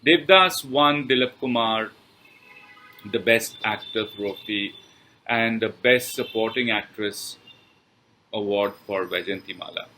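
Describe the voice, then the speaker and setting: Bright, forceful low-voiced Indian man. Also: a little hiss or hum, rough recording. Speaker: film review reader. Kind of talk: reading a scripted film review aloud.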